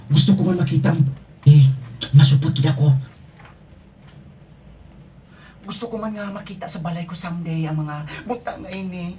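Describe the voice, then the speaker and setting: A woman's voice: on the low side, a little fast, warm tone, lightly acted. A woman playing a scheming young student thief in an amateur radio drama, melodramatic and emphatic, with a Visayan Filipino accent. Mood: yearning. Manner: melodramatic, emphatic, repeating phrases. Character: gleeful, scheming young student thief who covets others' things. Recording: distant mic, noisy background.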